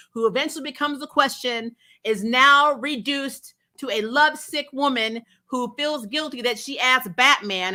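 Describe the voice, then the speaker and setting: A woman's voice: high voice, bright, forceful tone. A woman chatting casually as the host of a fan review show, sarcastic and incredulous, blunt with swearing and prone to laughing as she talks. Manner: laughs often while talking. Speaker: host of a TV superhero recap show.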